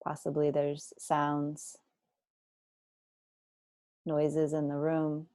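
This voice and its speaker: A woman guiding a body scan meditation, speaking slowly and deliberately with gentle instructions and long pauses. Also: deep voice, completely flat delivery, clear, resonant tone, dry recording. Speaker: meditation teacher.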